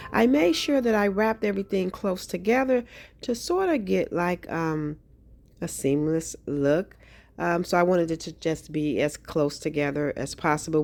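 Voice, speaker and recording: A woman hosting a craft tutorial, slightly hoarse from a cold, talking in a friendly, chatty way with frequent ums. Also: lowish voice, a little mellow. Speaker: craft channel host. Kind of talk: casual craft tutorial narration.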